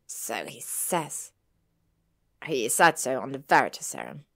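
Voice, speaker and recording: A woman's voice: a bass voice, very animated, a little slow, gravelly, voice pushed down, full character voice. A woman playing a loyal, protective teenage boy wizard in a story, speaking bluntly and sternly. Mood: snappish.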